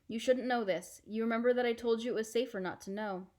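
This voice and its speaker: Woman reading as a calm, reassuring storybook uncle. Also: fairly high pitch, close to an everyday voice. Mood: kindly, firm. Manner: measured, explanatory, reassuring. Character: calm, reassuring adult uncle, a brave fisherman.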